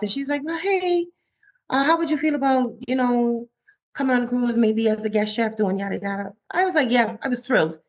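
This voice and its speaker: A woman in her forties from the Bahamas, a chef telling her story in a casual interview and acting out her own quotes. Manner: animated, acts out her own quotes with rising pitch. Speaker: chef, guest.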